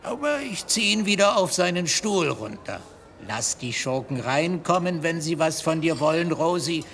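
An actor playing a chatty, shady middle-aged gambler with a sentimental streak, colloquial and theatrical in a German radio drama. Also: male voice, a little high, very animated, normal speed, fairly bright tone. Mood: storytelling. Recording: reverberant room, faint ambient noise.

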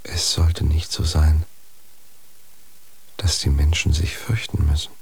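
Doubting son: low, husky, gravelly whisper, slow and hushed. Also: male voice, little pitch movement, heavily acted. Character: doubting young son of the inquisitor. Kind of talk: radio drama acting.

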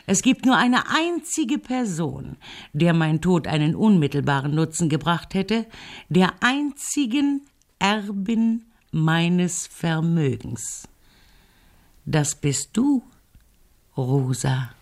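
An imperious, wealthy middle-aged aunt in a radio play. Her voice sits low. She speaks with pointed, mocking condescension, shrewd and fully in command. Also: very animated, slow pace, full character voice. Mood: coolly accusing. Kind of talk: German radio drama acting.

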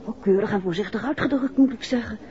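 An actress in a 1960s Dutch radio drama, playing a soft-spoken, expressive woman in a detective serial. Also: a little high, fast, close to an everyday voice. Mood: curious, wry. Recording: dull, noisy 1960s radio recording.

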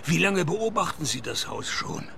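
An actor playing a sardonic male private detective in a radio play, narrating and talking in a dry, deadpan manner. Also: fairly high pitch, moderate pace, vocal fry, a little hiss or hum, heavily acted.

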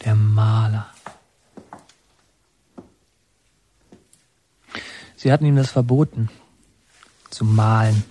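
An actor playing a brooding young inmate in an introspective radio monologue, musing in halting fragments, breathy and often half-whispered. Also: male voice, fairly low pitch, steady tone, mellow, a little hiss or hum, natural voice. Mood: brooding, remembering. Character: brooding young male inmate remembering his father.

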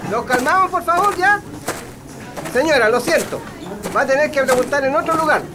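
High-voiced, bossy Chilean military guard. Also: male voice, animated, normal speed, background noise. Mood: impatient, dismissive. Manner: bossy, impatient. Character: curt, dismissive military guard at barracks gate. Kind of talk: radio drama acting.

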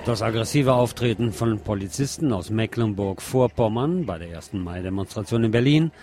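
A man hosting a radio show, presenting commentary and reading news aloud in a deliberate way with pauses. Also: fairly low pitch, lively intonation, over loud music.

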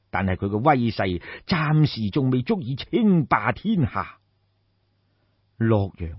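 A man voicing a grave, shrewd old royal minister in an audiobook, giving formal, deferential counsel in measured, deliberate phrases. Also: medium pitch, slightly rough, dry recording, highs cut off, exaggerated voice.

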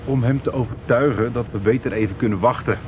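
Traffic-like noise surrounds a secretive man, played by an actor in a radio drama. His mid-pitched voice is curt and irritable, hesitating when he evades. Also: fast, natural voice. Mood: wary. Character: secretive, evasive, irritable man, a wary conspirator. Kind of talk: radio soap acting.

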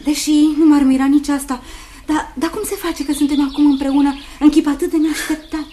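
An actress in a radio drama playing a lively, affectionate young woman, speaking in an excited, theatrical way. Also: high voice, a little hiss or hum, lightly acted. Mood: surprised, delighted.

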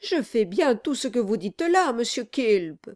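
A woman voicing a servile, flattering male lawyer in an audiobook, obsequious and deferential. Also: a little high, a little slow. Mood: anxiously deferential. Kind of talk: dramatized audiobook reading.

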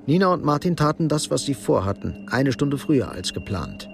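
A man narrating a radio drama from a script in a measured, sober manner. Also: medium pitch, big pitch swings, vocal fry, a little hiss or hum.

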